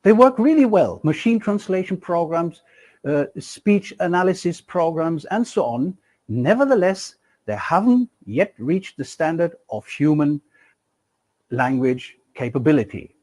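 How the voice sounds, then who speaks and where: Middle-aged guy lecturing, voice a bit high, swoops up and down a lot, lots of rhetorical questions. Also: unhurried. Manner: animated, rhetorical questions, emphatic swings in pitch. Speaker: university lecturer. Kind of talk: recorded e-lecture.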